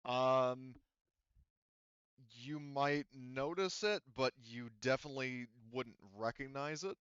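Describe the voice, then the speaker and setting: A man's voice: mid-range voice, slow, a bit bright, clear, resonant tone, no echo, dull audio. A man speaking informally as game master, narrating a tabletop roleplaying game casually and swearing freely.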